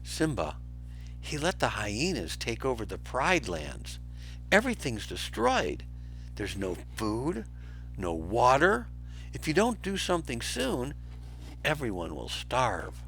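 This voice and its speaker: Storybook lioness, played by a male reader: emphatic, pleading, loyal, raised pitch with big swings. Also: wildly swooping pitch, takes it slowly, fairly bright tone, soft background music, heavily acted, low-quality recording. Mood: urgent, reproachful. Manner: insistent, emphatic. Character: urgent, pleading full-grown lioness, devoted old friend.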